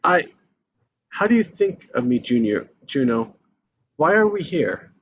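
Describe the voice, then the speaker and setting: Guy doing a discontented married dude in his 30s, earnest n halting, script read, bit muffled w some hiss. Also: fairly high pitch, sing-song. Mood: defensive, confused. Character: discontented married man in his thirties, restless, self-pitying. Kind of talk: live screenplay table read.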